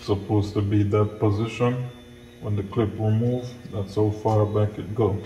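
Male workshop instructor, middle-aged, low mellow voice, explanatory, recorded on a camera mic in a bare room. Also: little pitch movement, measured pace. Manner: plain-spoken, explanatory, step by step. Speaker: mechanic giving instruction. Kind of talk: hands-on tutorial narration. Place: small bare-walled indoor auto workshop. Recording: echoey hard-walled room, noticeable background noise.

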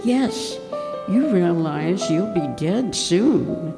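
A knowing, menacing undead stranger from an old-time radio mystery, played by an older woman on stage. The voice is gravelly and very slow, swinging ominously from note to note. It echoes through a hall. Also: lowish voice, expressive, full character voice. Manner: deliberate, ominous, insistent. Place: stage in a community hall, stand microphone. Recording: echoey hall, music over voice.

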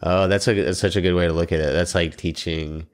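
A man's voice: sits low, fairly even intonation, brisk. A man in his thirties chatting casually as the co-host of a podcast. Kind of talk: casual chat. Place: small indoor podcast studio. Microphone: podcast microphone on a boom arm.

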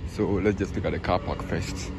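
A man's voice: low-pitched, steady tone, normal speed, loud music behind the voice. A man with a Ghanaian accent hosting an informal walking tour for a sports channel, often checking in with viewers as he talks. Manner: informal, frequent "you understand" check-ins. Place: outdoors, open stadium grounds and car park. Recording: outdoor handheld recording, loud ambient noise.

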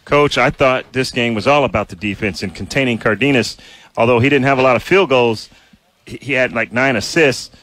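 A man who is a radio analyst, asking rambling, halting questions with many restarts. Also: medium pitch, fairly bright tone. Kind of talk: postgame radio interview.

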